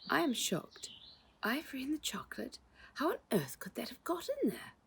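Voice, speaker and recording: A woman's voice: sits high, very animated, normal speed, creaky, fairly bright tone, slight background noise, voice pushed up, full character voice. A woman voicing an elegant, gracious lady visitor in a storybook, chatty, genteel and confiding. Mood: shocked, concerned.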